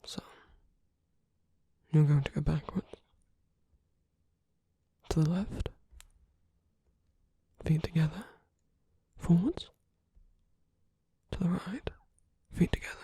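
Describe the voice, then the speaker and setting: A woman performing an ASMR roleplay as a suave, teasing, flirtatious villain, in a breathy, husky half-whisper with slow, coaxing murmurs. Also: medium pitch, mellow, dry recording, full character voice. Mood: patient, guiding. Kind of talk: ASMR roleplay, intimate scripted monologue.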